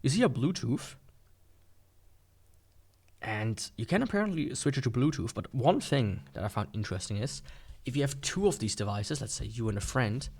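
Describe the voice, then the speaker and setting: A man's voice: mid-range voice, lively intonation, moderate pace, darkish tone, breathy edge. A man giving a casual, unscripted product review in a rambling, informal, skeptical way. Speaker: gadget reviewer.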